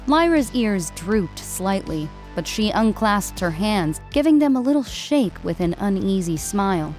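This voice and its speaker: A woman narrates a story in a scripted audiobook style. Her voice sits at a middle pitch, with faint music playing behind it. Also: sing-song, unhurried, a little mellow, low-quality recording. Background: background music.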